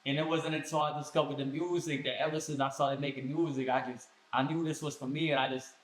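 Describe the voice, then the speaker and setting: A man's voice: fairly high pitch, fast talker. Laid-back young man, echoey room. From Colombia. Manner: laid-back street slang, relaxed storytelling. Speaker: guest musician. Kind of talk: casual podcast interview.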